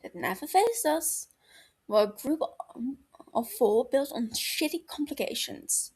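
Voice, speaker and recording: A woman as a soft, probing young man. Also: sits high, very animated, unhurried, breathy edge, pitched above the performer's own voice, heavily acted. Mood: concerned, wry. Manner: soft, careful, placating. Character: gentle, probing young man, calm concerned friend.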